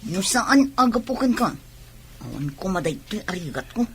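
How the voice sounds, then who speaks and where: A woman with an Ilocano Filipino accent playing a fretful, irritable young pregnant wife in a radio drama, in a husky voice. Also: mid-range voice, very animated, normal speed, slight background noise, close to an everyday voice. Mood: weary, complaining.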